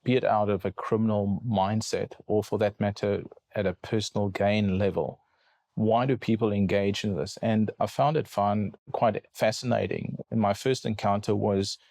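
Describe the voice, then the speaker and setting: South African man, low, steady voice. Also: no room sound. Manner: measured, repeats words while thinking. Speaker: guest cybersecurity lawyer. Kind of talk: podcast interview.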